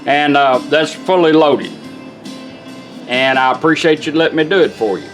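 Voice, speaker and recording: A man with a slightly high voice gives a casual hands-on tutorial. He is plainspoken and folksy, instructing one step at a time, with music clearly present in the recording. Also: lively intonation, moderate pace. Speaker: product demonstrator and car radio rebuilder.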